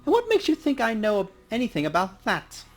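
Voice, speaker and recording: A man's voice: high voice, wildly swooping pitch, a little fast, gravelly, heavily acted. A man voicing a kindly, ghoulishly cheerful dwarf coroner with a wry, conversational manner for a game playthrough. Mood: guarded. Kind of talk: character reading in a let's play. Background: game music.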